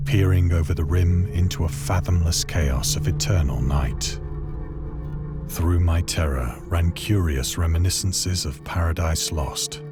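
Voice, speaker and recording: A man playing a haunted castaway, narrating a horror tale in a measured, solemn, literary manner for a game. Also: sits low. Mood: dread. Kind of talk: scripted dramatic narration of a horror story. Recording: loud music under the voice. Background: loud music.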